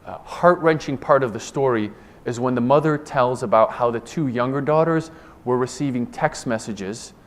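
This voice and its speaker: Earnest American man giving a talk. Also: medium pitch. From Ohio, United States. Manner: earnest, deliberate, with frequent restarts and repeated words. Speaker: government official giving a presentation. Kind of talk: formal conference presentation. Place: conference room.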